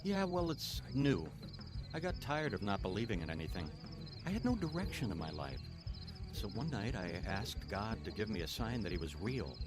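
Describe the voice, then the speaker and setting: Earnest, lively young guy. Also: fairly low pitch, normal speed, music in the background. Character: earnest, friendly young male friend, newly devout. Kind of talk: audio drama dialogue.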